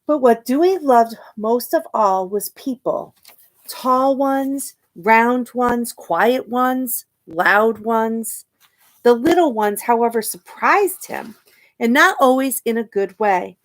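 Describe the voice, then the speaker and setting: A woman reading a children's picture book aloud expressively, pausing to ask her young listeners questions and point things out. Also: a little high, animated. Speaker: storytime reader and teacher.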